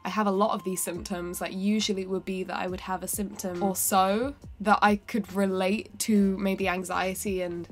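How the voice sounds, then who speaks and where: A woman's voice: mid-range voice, level tone, moderate pace, few pauses. A woman in her twenties with a cold and a nasal voice, chatting to camera in her bedroom, rambling with lots of fillers and self-corrections. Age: 20s. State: has a cold, sounds nasal. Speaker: singer-songwriter and vlogger. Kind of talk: casual talking-to-camera vlog. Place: bedroom indoors.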